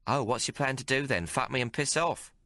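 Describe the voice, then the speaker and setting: A man's voice: medium pitch, brisk, bright, forceful tone, dry recording, studio quality, voice pushed up. A man reading aloud and voicing a coarse, fiery young female prostitute. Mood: brazen. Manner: coarse, bold, mocking.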